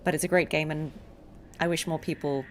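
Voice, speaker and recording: A woman's voice: low-pitched, steady tone, fairly quick, slight background noise. A woman game streamer giving casual, reflective livestream commentary, thinking aloud as she plays.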